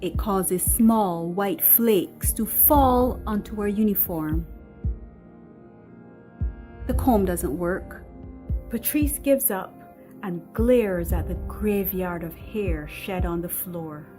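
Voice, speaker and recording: A woman's voice: medium pitch, slow, dark tone, faint music behind. A woman reading a screenplay aloud as narrator, delivering scene headings and action in scripted narration.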